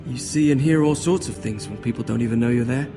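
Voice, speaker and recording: An actor playing a humble, knowing young servant in a fantasy video game, polite and insinuating. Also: male voice, mid-range voice, fast, close to an everyday voice. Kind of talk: dramatic video game acting. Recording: music behind the voice.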